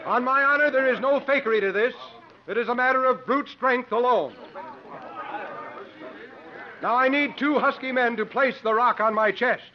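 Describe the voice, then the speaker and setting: A man's voice: very high-pitched, moderate pace, long pauses, a little hiss or hum. An actor playing a confident, articulate travelling strongman and sleuth in a 1950s radio drama, with formal theatrical phrasing. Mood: proclaiming. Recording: muffled vintage 1950s radio recording.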